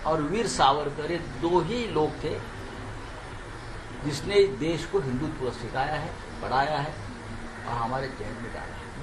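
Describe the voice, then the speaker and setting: A man giving combative political remarks to the press, full of rhetorical questions. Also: on the high side. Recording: echoey, noisy press interview.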